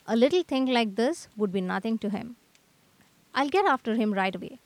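A woman's voice: a little high, animated, normal speed, darkish tone, no room sound. A woman playing an earnest, eager young male artist in a radio drama, with wordy old-fashioned phrasing. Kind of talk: scripted radio drama acting.

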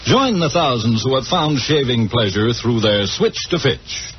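A man delivering a scripted 1940s-style radio commercial as a pitchman. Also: big pitch swings, a little slow, a little hiss or hum. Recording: dull old radio transcription recording.

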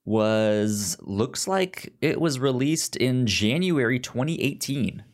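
A man hosting a podcast in casual chat, with a halting delivery full of stammered restarts. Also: mid-range voice, expressive. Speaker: podcast host. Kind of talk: casual chat about comics and film.

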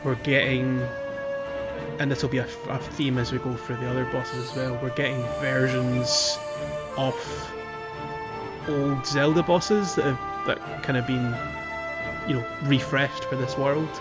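A man co-hosting a casual video game podcast, recapping with animated, dramatic emphasis. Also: medium pitch, measured pace. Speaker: podcast co-host. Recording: music playing under the voice. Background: background music.

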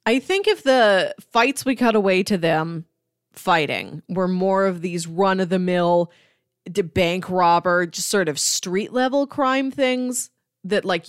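A woman's voice: fairly low pitch, clean tone, no echo, clean studio sound. A woman co-hosting a podcast, chatting casually about comics. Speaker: podcast co-host.

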